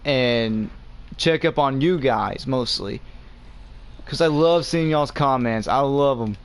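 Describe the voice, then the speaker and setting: A man's voice: mid-range voice, slow, clear, resonant tone. A young man with a Southern US accent, hosting a gaming video and talking earnestly to his viewers over a headset. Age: young adult. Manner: earnest, talks straight to viewers as "guys". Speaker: gaming channel host. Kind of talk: casual chat while playing a game.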